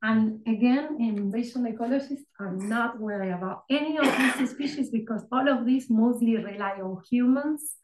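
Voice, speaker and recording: A woman's voice: a little high, normal speed, hardly any pauses, a little mellow, roomy sound, poor audio. A woman from Patagonia with a thick Argentine accent, a field ecologist giving a friendly, conversational research talk with frequent fillers. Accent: Argentine Spanish. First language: Spanish. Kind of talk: academic lecture with slides.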